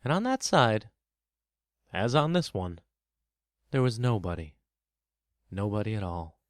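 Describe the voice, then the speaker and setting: A man narrating a children's story as scripted, expressive storybook narration. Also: on the low side, a little slow, no echo, clean studio sound.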